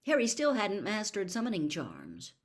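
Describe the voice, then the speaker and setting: A woman reading a children's fantasy novel aloud as an expressive storybook narrator. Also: mid-range voice, normal speed. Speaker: audiobook reader.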